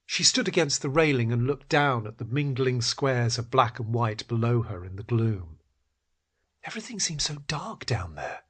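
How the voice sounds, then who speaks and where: Very husky male story narrator. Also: medium pitch, fairly bright tone. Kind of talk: scripted literary story narration.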